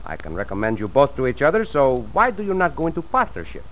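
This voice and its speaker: A gallant cowboy hero speaks formally and with calm confidence. His voice sits at a medium pitch. The old radio drama recording is faintly noisy. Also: fast talker. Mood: courteous. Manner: courtly, formal, assured. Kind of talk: old-time radio western acting.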